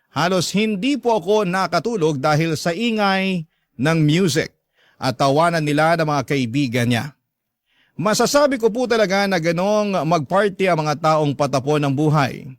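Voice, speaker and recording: A man's voice: a little high, dry recording, clean studio sound. A man with a Filipino accent narrating a first-person story as a radio host, in an earnest, confiding and polite way.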